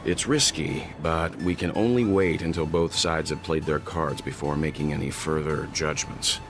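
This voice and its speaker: Deep, gravelly, grave male advisor. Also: fairly even intonation. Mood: grave, guarded. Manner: deliberate, serious, reserved. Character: grave, methodical mature man, wary seasoned advisor. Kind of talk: scripted game story dialogue. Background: background music.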